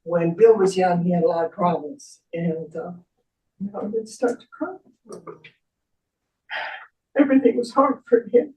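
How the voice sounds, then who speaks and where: A woman's voice: lowish voice, measured pace, long pauses, muffled recording. An older woman speaking with emotion as she gives a personal eulogy at a memorial. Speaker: memorial speaker, friend of the deceased. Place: echoey indoor memorial gathering. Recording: echoey room, distant microphone.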